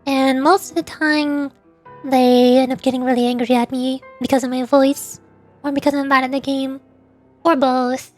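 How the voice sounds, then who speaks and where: High pitched girl streamer, woman chatting casually, kinda halting n self-deprecating, game music in back. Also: level tone. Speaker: livestreamer, VTuber voice actor. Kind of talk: casual livestream chat while playing a game.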